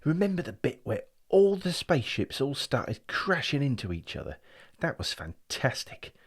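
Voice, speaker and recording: A man voicing an excitable schoolboy brother who gushes breathlessly in an audiobook story. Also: medium pitch, normal speed, slightly rough, voice pushed up, heavily acted. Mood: excited. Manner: gushing, breathless boasting.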